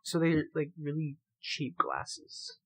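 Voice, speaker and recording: A man's voice: on the high side, huge pitch swings, slow, creaky, pushed and bright, breathy edge, rough recording. A man co-hosting a podcast in casual, joking chat, bantering with mock seriousness and swearing freely. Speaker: podcast co-host.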